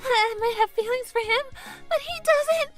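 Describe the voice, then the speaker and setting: A lovestruck anime teenage girl speaks in a very high voice at an unhurried pace. She is melodramatic and easily flustered, sighing and tripping into stammers. Soft music plays behind her romcom cartoon lines. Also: animated, full character voice. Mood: flustered, giddy. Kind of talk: anime-style manga dub voice acting.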